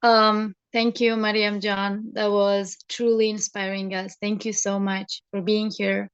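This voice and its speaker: A woman's voice: medium pitch, flat delivery, unhurried, clean tone, no echo. Polite woman on a dull call line. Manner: polite, hesitant. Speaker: participant asking a question. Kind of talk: polite questioning on a video call. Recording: dull, dry video call audio.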